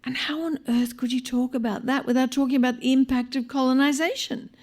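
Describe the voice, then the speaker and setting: An Australian woman reading a short story aloud live, in an expressive, wry manner with dramatic pauses. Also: high voice. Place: live on stage at a small venue.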